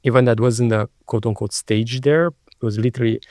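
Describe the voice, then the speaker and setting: Male podcast host, Romanian accent, non-native English speaker, conversational and searching for words, mid-pitch, dry sound. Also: fairly even intonation, fairly quick, a little mellow, dry recording.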